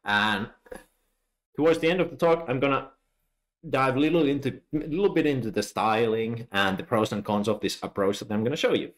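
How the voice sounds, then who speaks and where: A man's voice: medium pitch, a little fast. A man from Finland with a Finnish accent, giving a technical conference talk in a friendly, explaining way with many "uh" fillers. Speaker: conference speaker.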